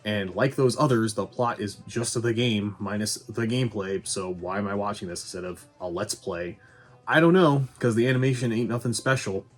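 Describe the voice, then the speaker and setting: A man's voice: fairly low pitch, normal speed. A man giving a casual scripted review commentary, with sarcastic dry humour and some swearing. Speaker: video game movie reviewer. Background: faint music.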